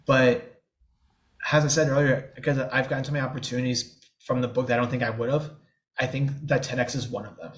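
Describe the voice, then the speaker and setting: A man's voice: mid-range voice, steady tone, fairly quick. Man who stutters, candid and easygoing. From New Orleans area. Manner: stutters, with blocks and repeated sounds. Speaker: guest. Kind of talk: podcast interview.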